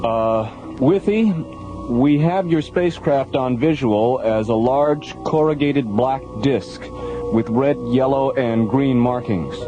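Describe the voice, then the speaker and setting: An actor playing an alert, businesslike space-station technician in a sci-fi radio drama, speaking in a matter-of-fact, procedural way. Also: male voice, mid-range voice, slow, highs cut off, natural voice. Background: dramatic music.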